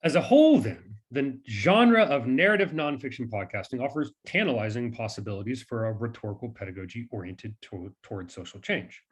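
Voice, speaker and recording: A man's voice: medium pitch, expressive, a little fast, few pauses, breathy edge. A man delivering a scripted academic research talk in formal, scholarly language. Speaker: academic researcher presenting a paper.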